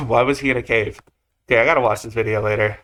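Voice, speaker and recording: A man's voice: mid-range voice, fairly even intonation, quick pace, fairly bright tone. A man giving casual, rambling reaction commentary as a video host, with slangy phrasing and mild swearing. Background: music or drone from the video being watched.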